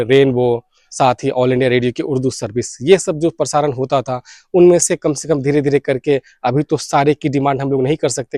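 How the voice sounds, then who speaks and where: A man's voice: medium pitch, a little mellow, no echo, clean studio sound. An Indian man making an earnest campaign appeal as a video host, mixing English words into his speech. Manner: Hindi mixed with English words. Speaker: YouTube host and radio enthusiast.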